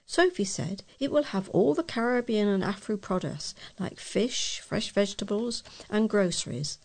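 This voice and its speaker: Female volunteer newsreader, mid-pitched, with a gravelly creak and some huskiness. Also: a little mellow. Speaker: volunteer local news reader. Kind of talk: reading news articles aloud.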